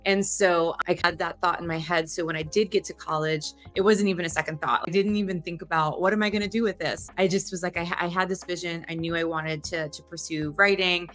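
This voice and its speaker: A woman in her thirties from Delaware, telling her career story in a casual, animated interview. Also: fairly low pitch, a little fast, hardly any pauses, faint music behind. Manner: chatty, animated, loose restarts. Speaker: guest.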